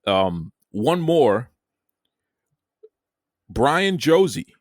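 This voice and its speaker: A man's voice: mid-range voice, sing-song, extremely slow, dry recording, clean studio sound. A man hosting a casual talk show, conversational and folksy, often stumbling over and repeating words. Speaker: podcast host. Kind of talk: casual chat.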